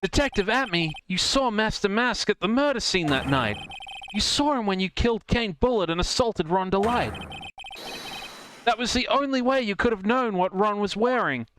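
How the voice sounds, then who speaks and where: Man playing an earnest, animated young defense attorney. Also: high voice, normal speed, noisy background. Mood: accusing. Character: earnest young male defense attorney, bold but easily rattled. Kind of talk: live fan dub of a courtroom video game. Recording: game music behind the voice.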